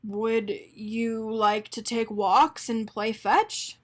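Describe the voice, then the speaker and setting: A woman's voice: a little high, fairly even intonation, drawn-out words. A woman voicing a fussy, polite and kindly man in a picture-book story, speaking in a matter-of-fact way. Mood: excited. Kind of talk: animated picture-book character reading.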